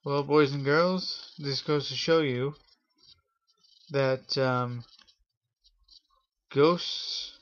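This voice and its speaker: Slow-talking man telling a spooky story. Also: medium pitch, highs cut off. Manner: halting, pausing between short phrases. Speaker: host telling a scary story. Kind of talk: improvised spooky storytelling for viewers.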